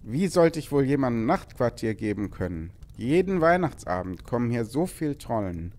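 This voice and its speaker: Man playing a farmer, very lively pitch. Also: moderate pace, close to an everyday voice. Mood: plaintive. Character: harried Norwegian farmer, plaintive householder.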